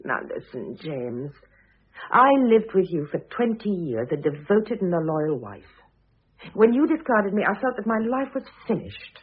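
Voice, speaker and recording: An actress playing a composed, wry, middle-aged divorced wife in a vintage radio drama, speaking with dry, pointed self-possession. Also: female voice, on the low side, sing-song, a little slow, slightly rough, exaggerated voice. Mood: earnest, proud. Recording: muffled, narrow-band old radio recording.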